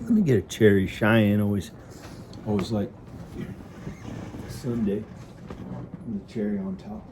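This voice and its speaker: A man improvising a first-person story in a halting, simple, repetitive manner, with hesitant pauses. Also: on the low side. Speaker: amateur storyteller narrating own improvised story. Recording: noticeable background noise. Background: ambient sound effects.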